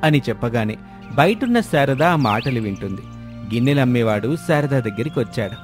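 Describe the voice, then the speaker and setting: A man's voice: medium pitch, lively intonation, moderate pace. A man reading a scripted story as its narrator, with music behind him.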